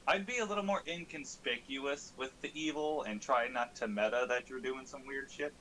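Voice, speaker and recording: A man's voice: mid-range voice, moderate pace, rough recording. A man in a casual, informal chat, recapping a tabletop game as a player and swearing lightly. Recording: steady audible background noise.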